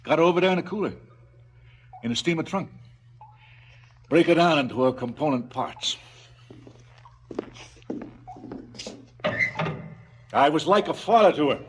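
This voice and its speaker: An actor playing a cynical, brooding crime boss in a stylised radio drama, sardonic and businesslike about killing. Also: male voice, fairly high pitch, animated, fairly quick, long pauses, a little hiss or hum, lightly acted. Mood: cold, wistful menace.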